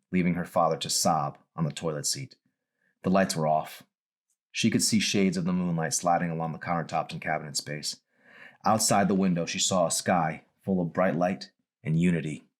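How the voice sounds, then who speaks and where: Male novelist, low register, audiobook-style narration of his own story. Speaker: author reading his novel.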